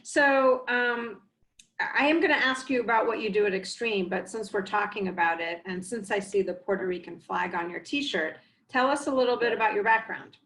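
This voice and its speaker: A woman's voice: medium pitch, moderate pace, fairly bright tone, poor audio. A woman in her forties hosting a casual work interview from a home office over a headset, friendly and encouraging. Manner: friendly, encouraging, short affirmations. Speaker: host.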